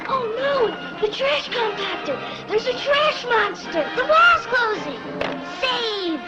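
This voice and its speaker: Woman as an excited child, very high voice, animated, breathless toy commercial delivery, loud music behind. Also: slow pace, highs cut off, exaggerated voice. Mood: excited, alarmed. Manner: breathless, exclamatory. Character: excited child playing with a space toy set. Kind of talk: 1970s toy commercial dialogue.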